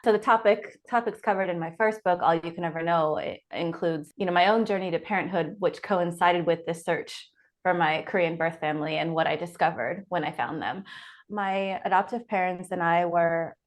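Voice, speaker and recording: A woman's voice: on the low side, fairly even intonation, normal speed. A woman in her forties from the Pacific Northwest, answering interview questions reflectively as an author guest. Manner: reflective, thinks aloud with restarts.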